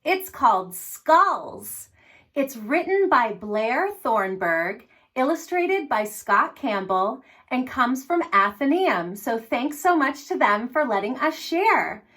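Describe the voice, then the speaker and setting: A woman hosting story time for young children, speaking in an animated, encouraging way straight to her little listeners. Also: fairly high pitch, slow. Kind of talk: reading and singing aloud to young children.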